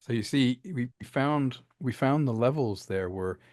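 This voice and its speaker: Lively man director, dry close sound. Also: mid-range voice, a little slow, no room sound. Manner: animated, acts out lines while explaining. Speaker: director, guest. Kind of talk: casual interview talk.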